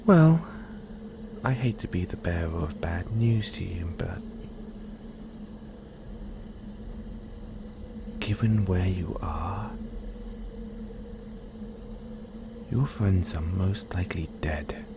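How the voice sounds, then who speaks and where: A man playing a playful, menacing, possessive werewolf in an audio roleplay, teasing the listener in a breathy, half-whispered, predatory way. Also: sits low, animated, unhurried, noisy background, full character voice. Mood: mock-apologetic, menacing.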